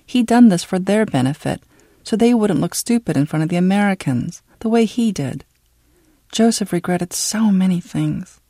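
An audiobook narrator reads a scripted literary story. The delivery is measured and dramatic, at a medium pitch. The recording is close and dry. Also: lively intonation, mellow, dry recording.

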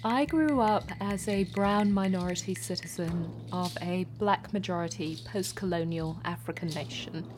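A woman poet from Kenya talks in a measured, earnest way about her work. Her voice sits fairly low. There is clear background noise behind her. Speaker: poet and performer. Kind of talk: reflective interview talk.

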